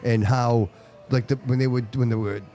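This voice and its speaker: A man's voice: medium pitch, steady tone, normal speed, mellow. A man whose first language is Portuguese, hosting a casual live podcast chat. He talks emphatically, repeats himself and calls people dude and bro. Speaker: podcast host. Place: live show at a brewery venue.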